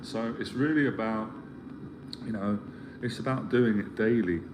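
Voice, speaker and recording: Earnest low-voiced middle-aged man mentoring. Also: measured pace. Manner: earnest, rambling, repeats himself while searching for words. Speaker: life mentor. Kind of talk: motivational live stream talk. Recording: clear background ambience noise.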